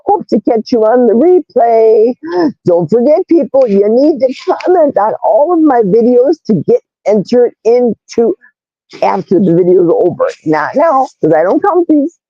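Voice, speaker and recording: A woman with a loud, raspy, brash voice hosting a casual livestream, reading chat comments aloud and greeting viewers. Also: on the high side, a little slow, no room sound, dull audio. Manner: animated, reads comments aloud, squeals greetings. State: hoarse, vocal fry.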